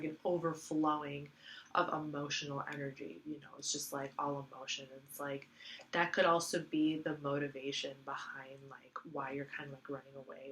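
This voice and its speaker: A woman with a low voice giving a tarot reading, her nose stuffed up with allergies. She rambles loosely, leaning on fillers and circling back to repeat her points. A faint hum of ambience sits behind her. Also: rough recording. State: congested from allergies. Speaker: tarot reader.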